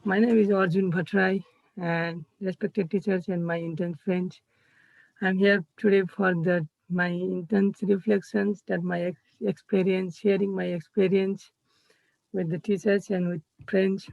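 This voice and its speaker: A young man from Nepal with a Nepali accent, presenting his internship reflection over a video call, pausing and restarting as he searches for words. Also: sits high, little pitch movement, creaky, darkish tone, no echo. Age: young adult. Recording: dull, slightly muffled video-call audio.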